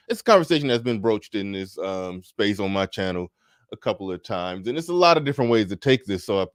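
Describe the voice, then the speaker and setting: A man hosting a casual panel discussion, relaxed and repeating words as he thinks aloud. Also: lowish voice, no echo, clean studio sound. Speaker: host. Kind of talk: casual panel talk show.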